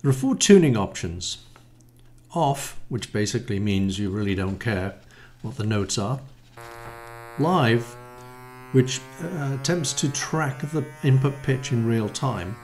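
A man in his sixties giving an explanatory, semi-improvised product demo in a measured, hesitant manner with frequent pauses. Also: medium pitch, expressive, a little mellow, slight background noise. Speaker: module designer presenting a demo. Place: small home studio room, indoors. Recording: roomy, echoey home room.

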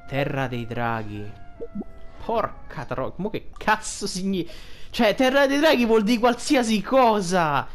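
A man giving casual gameplay commentary as a streamer, colloquial and thinking out loud, with some swearing. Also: high-pitched, animated, slow. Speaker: video game streamer. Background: game music.

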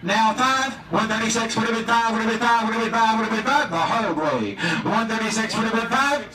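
A man's voice: high voice, a little fast. An American man working as an auctioneer, chanting repetitive, rhythmic bids to a live crowd and pushing bidders along. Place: outdoors on a marina dock. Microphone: picked up by a distant camera. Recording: distant, echoey outdoor recording with crowd.

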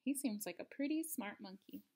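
A woman's voice: medium pitch, lively intonation, moderate pace, warm tone. A woman in her thirties reading a picture book aloud expressively for children, at home. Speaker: storybook reader. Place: living room at home.

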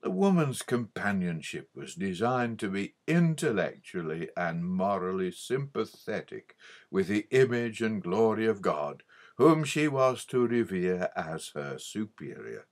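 A man narrating an old religious audiobook in a formal, measured way with emphatic stresses. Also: lowish voice, very animated. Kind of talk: scripted audiobook reading of an old religious book.

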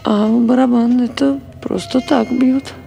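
A woman's voice: on the high side, steady tone, vocal fry, mellow. An actress playing an anxious, hard-bargaining mother who trades in wartime, brooding and repeating herself, in a Soviet radio drama. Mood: fearful, self-soothing. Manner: slow, repetitive, brooding speech. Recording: 1979 radio play with music behind.

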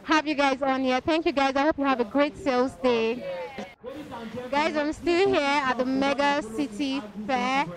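A woman's voice: sits high, normal speed, poor audio. A young woman with a Nigerian accent interviewing people on a handheld mic at a fair. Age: young adult. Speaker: interviewer, reporter. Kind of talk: vox-pop interview at a fair. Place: outdoor market fair under tents. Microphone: handheld reporter microphone. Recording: busy outdoor crowd noise.

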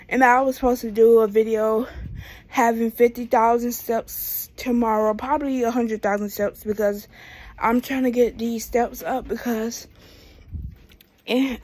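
High-voiced young American woman rambling. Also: darkish tone. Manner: rambling, talking while pacing on foot. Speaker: vlogger. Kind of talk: casual rambling vlog chat. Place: small room indoors at home. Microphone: phone at arm's length.

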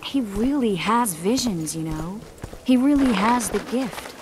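An actress playing a tender, thoughtful young sorceress in a video game, speaking softly and expressively. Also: female voice, medium pitch, a little slow, natural voice. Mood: wistful. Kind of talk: video game dramatic dialogue. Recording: steady rain and ambient noise behind. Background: rain.

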